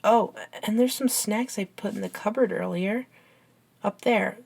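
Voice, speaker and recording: A woman playing a caring, curious, science-minded young best friend in an ASMR roleplay, with an earnest, halting, gently teasing manner. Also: medium pitch, gravelly, husky. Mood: puzzled. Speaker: amateur audio roleplay voice actor.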